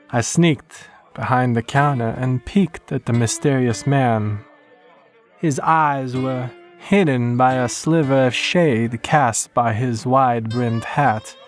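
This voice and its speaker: A male narrator tells a western horror story in the first person. His mid-pitched delivery is measured and folksy, with plucked guitar playing behind him. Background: plucked guitar music.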